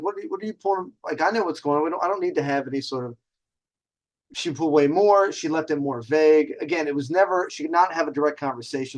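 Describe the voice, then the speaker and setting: A male host talks solo in a casual, storytelling style. His voice sits a bit higher than most men's, and he carries himself with the swagger of a self-styled showman.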